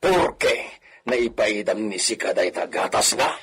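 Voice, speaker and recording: A man's voice: fairly low pitch, animated, a little hiss or hum. A man with an Ilocano accent playing a domineering, volatile villa master in a radio drama. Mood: accusing, pained. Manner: slow, theatrical, swinging from soft to shouting.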